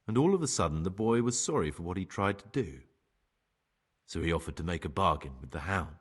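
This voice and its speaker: An actor playing a remorseful ex-bandit turned novice monk in a game cutscene, husky, measured and persuasive. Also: male voice, low-pitched, animated. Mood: measured storytelling. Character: remorseful young ex-bandit novice monk, sly pragmatic bargainer. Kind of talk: dramatic game cutscene acting.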